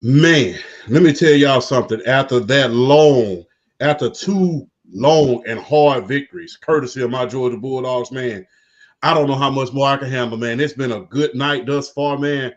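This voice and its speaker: A man's voice: mid-range voice, moderate pace. A man hosting a casual sports podcast, laid-back and saying "man" after nearly every phrase. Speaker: podcast host. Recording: dull lo-fi sound.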